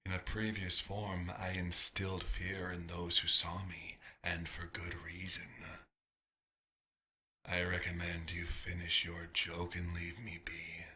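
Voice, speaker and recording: Man acting a deep-voiced, brooding male vampire doctor. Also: completely flat delivery, takes it slowly, pushed and bright, exaggerated voice. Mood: menacing, indignant. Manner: musing, dramatic, slipping into breathy whispers. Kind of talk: ASMR roleplay monologue.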